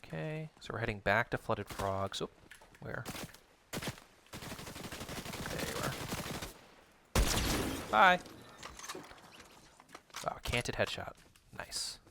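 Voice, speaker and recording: Male game streamer, calm teacher-like explainer, gravelly voice with a noisy bed behind it. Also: mid-range voice, drawn-out words, pauses often. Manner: calm, explanatory, educator-like delivery; chats with viewers while narrating play. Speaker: streamer playing a battle royale game. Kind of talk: casual livestream chat while gaming.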